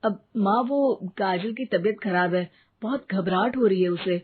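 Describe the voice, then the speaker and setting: A woman voicing a casual, sceptical grown-up son in an animated moral story. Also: mid-range voice, normal speed, natural voice. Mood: anxious. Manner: casual, dismissive. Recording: narrow phone-like sound, faint noise.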